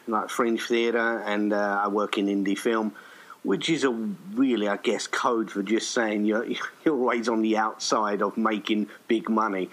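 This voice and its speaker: A man's voice: lowish voice, fairly bright tone, rough recording. A middle-aged man from Essex with an English accent, chatting casually and a little hesitantly as an interview guest. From Essex, England. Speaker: guest, writer-director.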